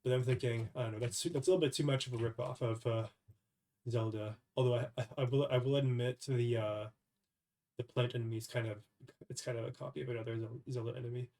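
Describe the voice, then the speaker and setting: Young male game developer, stuffy from a cold, halting casual voice-over at a desk mic. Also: medium pitch, steady tone, mellow. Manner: hesitant, many fillers and restarts. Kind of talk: casual devlog screen recording.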